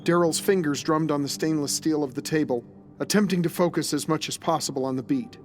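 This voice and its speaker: A man's voice: fairly high pitch, brisk, soft background music. A man narrating a scripted dramatic story as its narrator.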